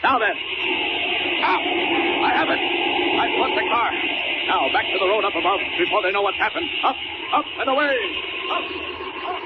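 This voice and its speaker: An actor playing a decisive superhero in a 1940s radio serial, declaiming in short urgent bursts through a very noisy recording. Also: male voice, high-pitched, lively intonation, moderate pace, pauses often, dull audio, loud music behind the voice. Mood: urgent, triumphant. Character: decisive, powerful male superhero in action.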